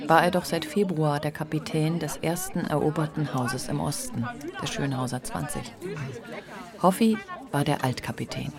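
An actor narrating a literary radio play in a measured, sober way. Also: male voice, on the high side, a crowd in the background, close to an everyday voice. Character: male literary narrator telling of squatters, sober.

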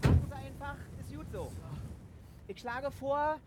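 An actress playing a brisk, bossy village organiser in a radio play. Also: female voice, high-pitched, very noisy. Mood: brisk, persuasive. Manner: commanding, colloquial. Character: brisk bossy village woman organising a scam, later guiding press. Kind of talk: naturalistic radio play acting.

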